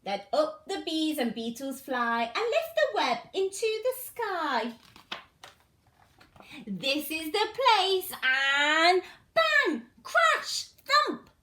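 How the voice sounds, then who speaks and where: A woman storyteller with a high-pitched voice reads to young children. She goes slowly, with sing-song, exaggerated emphasis. The recording has a clear room echo. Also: big pitch swings, sharp tone. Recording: roomy, echoing indoor sound.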